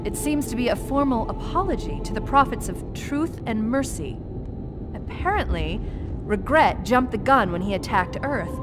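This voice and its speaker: A woman playing a composed, dry-witted AI intelligence officer in a sci-fi game, briefing crisply and analytically. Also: a little high, animated, normal speed, background noise, close to an everyday voice. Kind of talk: cinematic sci-fi game acting. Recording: loud music behind.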